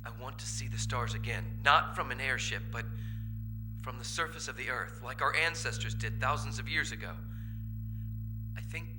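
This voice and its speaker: Guy acting a restless young son, pleading and defiant, kinda halting, radio drama w music behind. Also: mid-range voice, lightly acted, poor audio. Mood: wistful. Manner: urgent, halting. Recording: stage performance with music behind.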